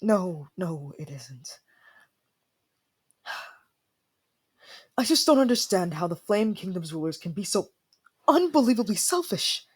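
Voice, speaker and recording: Casting: an actor as a proud young fantasy prince of frost, tsundere temper, husky mid-pitched voice, formal and prickly. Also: natural voice. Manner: indignant, formal, dramatic sighing delivery. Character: young haughty, easily flustered ice prince, tsundere temper. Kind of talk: fantasy audio roleplay acting.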